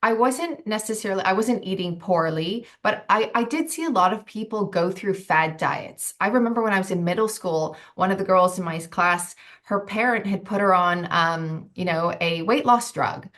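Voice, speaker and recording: A woman's voice: medium pitch, moderate pace, a bit bright. A woman in her forties talking as a nutritionist guest in a casual interview, thoughtful with frequent ums and restarts. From United States.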